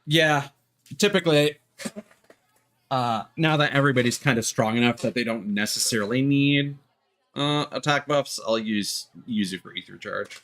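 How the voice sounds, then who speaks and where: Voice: medium pitch, moderate pace, fairly bright tone. A game streamer giving casual gameplay commentary, rambling and musing aloud. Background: video game music.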